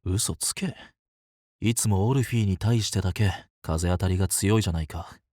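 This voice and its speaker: A man playing an easygoing, protective and resolute fantasy leader in a Japanese voice drama, with casual, gently teasing speech. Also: low-pitched, moderate pace, no echo, clean studio sound. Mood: light teasing.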